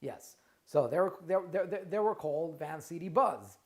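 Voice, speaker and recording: A man's voice: fairly high pitch, lively intonation, normal speed, darkish tone. A middle-aged man from Russia with a Russian accent, hosting a casual live question-and-answer stream, talking in loose bursts with frequent restarts and repeated words. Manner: conversational, many restarts and repeated words, asks "right?". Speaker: trademark lawyer and company founder, show host.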